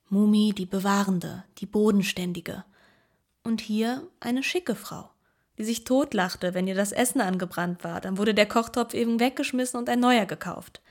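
An actress playing a former housemaid who gives measured, reflective testimony about her employers in a radio play. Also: medium pitch, a little mellow, lightly acted.

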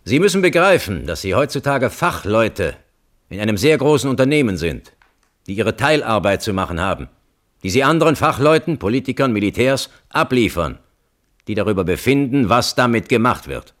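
Hardline colonel on the witness stand, precise and formal, widely varied intonation. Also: male voice, medium pitch, big pitch swings, normal speed, a bit bright. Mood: insistent. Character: hardline male military security colonel testifying as witness. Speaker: witness at a hearing. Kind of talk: radio play courtroom acting.

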